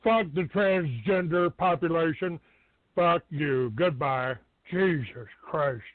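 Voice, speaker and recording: A man's voice: slow pace, dry recording. A man hosting a live internet radio call-in show, blunt, combative and dismissive with his callers. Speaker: talk show host taking calls. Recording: narrow phone-like low-fidelity internet radio sound.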